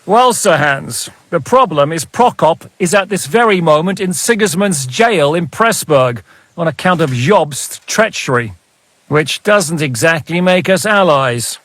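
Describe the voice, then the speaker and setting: An actor playing a wry, battle-hardened mercenary captain in a game, speaking in a measured, world-weary way. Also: male voice, a little high, animated, a bit bright, no room sound. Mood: measured, grim. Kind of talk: dramatic game dialogue.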